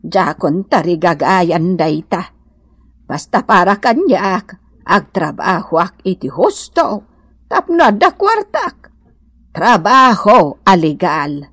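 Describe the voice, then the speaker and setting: A woman's voice: lowish voice, big pitch swings, takes it slowly, a bit bright, husky, no echo, clean studio sound, heavily acted. An actress playing a principled, moralizing mother in a radio drama, with a northern Philippine accent. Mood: earnest, moralizing. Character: plain, principled mother who values honest hard work. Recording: music behind the voice.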